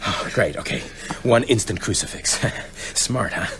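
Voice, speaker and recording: An actor in a radio drama playing an anxious, brisk small-town doctor with halting, wry delivery. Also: male voice, lowish voice, expressive, fairly bright tone, slight background noise. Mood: nervous, urgent.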